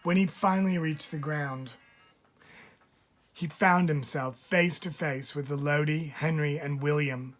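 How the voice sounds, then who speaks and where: A man, a teacher, reading a picture book aloud in an expressive storytelling style, stressing key words. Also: on the high side, unhurried. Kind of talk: expressive read-aloud narration for children. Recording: music under the voice. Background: music.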